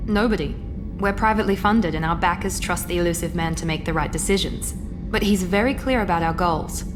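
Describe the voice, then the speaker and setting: A woman's voice: on the low side, brisk, music in the background, close to an everyday voice. A woman playing a poised, businesslike, coolly loyal agent in a video game, speaking formally and precisely. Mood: assured. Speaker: secret agent and ship's officer. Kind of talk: video game character dialogue.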